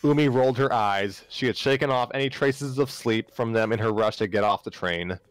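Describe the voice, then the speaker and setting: A man's voice: mid-range voice, fairly quick, clear, resonant tone, dry recording, rough recording. A man narrating a story live on a stream, often stumbling and repeating phrases as he reads aloud. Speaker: narrator, livestream reader. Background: background music.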